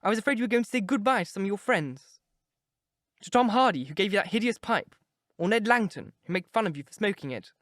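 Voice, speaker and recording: Man doing lovestruck young woman, eager, breathless, high, dry close audio. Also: animated, fast talker, a bit bright, dry recording. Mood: playful.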